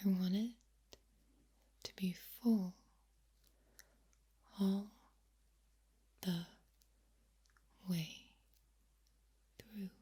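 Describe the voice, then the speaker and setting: Breathy, whispering woman, extremely slow. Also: mid-range voice, steady tone, dark tone. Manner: deliberate, drawn-out delivery with repeated words. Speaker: ASMR host. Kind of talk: soft-spoken ASMR, mostly whispered. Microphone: close, sensitive microphone.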